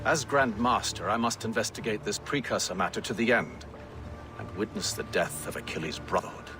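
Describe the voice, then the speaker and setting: An actor playing an authoritative, composed Grand Master in a game, formal and measured. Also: male voice, lowish voice, animated, music in the background, natural voice. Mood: resolute. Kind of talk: dramatic game cutscene acting.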